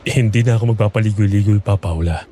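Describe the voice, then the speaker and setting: A man's voice: on the low side, fast, warm tone, a little hiss or hum, natural voice. A man playing an earnest, desperate young man in an audio horror drama. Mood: urgent.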